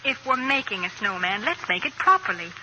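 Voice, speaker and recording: An actress playing a brisk, exacting suburban wife and mother, composed and firm, in 1940s radio style. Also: mid-range voice, very animated, lightly acted. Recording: noisy old radio recording.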